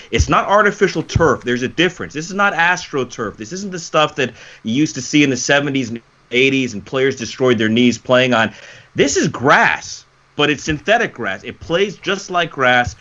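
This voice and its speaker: Bright, forceful male sports host, slightly dull recording. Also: mid-range voice, expressive, moderate pace. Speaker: sports talk show co-host and broadcaster. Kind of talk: sports commentary talk.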